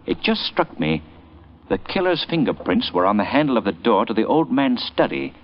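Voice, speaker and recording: An actor playing a mysterious, commanding crime fighter with urgent, dramatic delivery in old radio drama style. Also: male voice, mid-range voice, very animated, normal speed. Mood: grave, determined. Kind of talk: 1930s-40s radio drama acting. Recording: muffled vintage radio recording.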